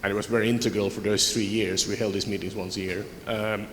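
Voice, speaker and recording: A man's voice: on the low side, flat delivery, fast. A man from Finland, a conference panelist, reflecting on his career with frequent restarts. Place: large conference hall. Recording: roomy hall with background noise.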